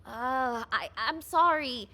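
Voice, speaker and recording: A woman's voice: high-pitched, animated, slow pace, sharp tone, exaggerated voice. A woman playing an anxious, earnest executive officer in a video game, hesitant and stammering. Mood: nervous, apologetic.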